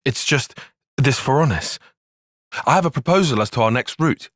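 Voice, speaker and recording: A man playing a cerebral, earnest young soldier and strategist in a video game, speaking in precise, formal, analytical language and quick to exasperation. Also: mid-range voice, animated, a bit bright, dry recording, clean studio sound. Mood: measured, analytical. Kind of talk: video game cutscene voice acting.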